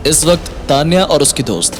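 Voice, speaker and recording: A man's voice: on the high side, lively intonation, fairly quick, noisy background. A man narrating a Hindi audio story in a dramatic scripted style. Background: music.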